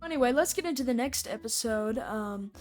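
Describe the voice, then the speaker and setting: A woman's voice: on the high side, expressive, a little fast, a little mellow, faint music behind. A woman giving casual fan-theory commentary as a host, rambling and repeating her points. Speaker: podcast host and commentator reacting to clips.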